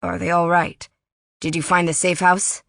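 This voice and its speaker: A woman voicing a brisk, guarded young man who is secretly a dragon, speaking curtly. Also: fairly low pitch, flat delivery, gravelly, fairly bright tone, dry recording, studio quality. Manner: curt, businesslike.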